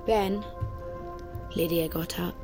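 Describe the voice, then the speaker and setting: A haunting, ominous female narrator, tells an audio-drama story softly and with suspense. The delivery is very slow and fairly low, with music clearly behind it. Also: steady tone. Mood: hushed suspense. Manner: measured, suspenseful. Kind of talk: slow, hushed dramatic narration in the first person.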